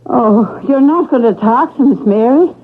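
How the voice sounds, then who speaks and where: An actress playing a devoted, emotional long-serving Irish housekeeper in a radio drama, with an effusive, pleading manner. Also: female voice, a little high, moderate pace, slightly rough, phone-quality sound. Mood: coaxing, hesitant. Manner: pleading, effusive, endearing address. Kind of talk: old-time radio drama acting. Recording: thin, noisy old radio broadcast.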